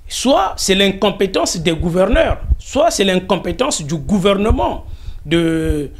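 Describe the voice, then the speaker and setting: A man with a Congolese French accent giving formal, emphatic interview answers, repeating phrases for rhetorical effect. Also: high-pitched. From Congo-Brazzaville. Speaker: interviewee, diaspora spokesman.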